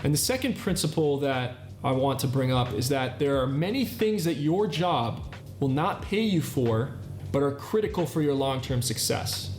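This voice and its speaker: A man's voice: mid-range voice, animated, moderate pace, darkish tone. A man in his thirties hosting a self-improvement talk, explaining in an earnest way with repeated list-like phrasing. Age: 30s. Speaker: channel host. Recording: echoey room with faint music. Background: faint background music.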